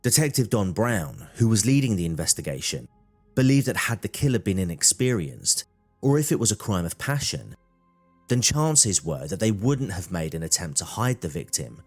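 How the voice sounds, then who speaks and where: Male narrator for scripted true crime, deep register, faint music bed. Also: very animated, normal speed.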